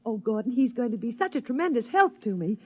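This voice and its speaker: An actress playing a cheerful, sensible, coaxing suburban wife in a 1950s radio drama. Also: female voice, fairly high pitch, sing-song, quick pace. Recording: dull vintage radio recording.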